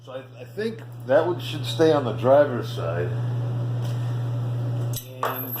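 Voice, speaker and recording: A man's voice: medium pitch, big pitch swings, slow pace. A man giving a casual, unscripted hands-on walkthrough as a hobbyist, rambling as he thinks aloud. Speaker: amateur radio hobbyist. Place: indoor room with bare concrete floor. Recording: roomy echo, clear background hum.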